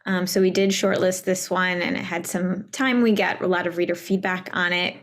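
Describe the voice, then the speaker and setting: A woman's voice: fairly low pitch, fairly even intonation, moderate pace. A woman speaking as an editor, explaining a process in an unscripted, conversational way. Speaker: prose editor.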